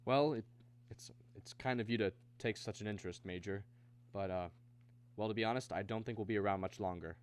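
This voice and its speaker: An actor playing an anxious, earnest American family man in a mid-century radio drama, with hesitant, halting speech. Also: lowish voice, clear, resonant tone, close to an everyday voice. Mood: guarded, resigned.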